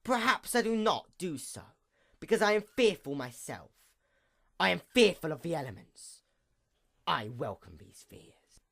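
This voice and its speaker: A woman voicing a proud, wounded pony goddess of night in a theatrical villain monologue, menacing and grandiose with a gravelly creak. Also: fairly low pitch, very animated, a bit bright, heavily acted. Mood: grim resolve. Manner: theatrical, menacing, grandiose, with slow drawn-out emphasis. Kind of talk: dramatic villain monologue, fan fiction reading.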